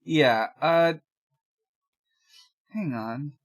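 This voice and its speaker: A woman's voice: low voice, drawn-out words. A woman co-hosting a podcast, chatting casually and reading fan fiction aloud. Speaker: podcast co-host.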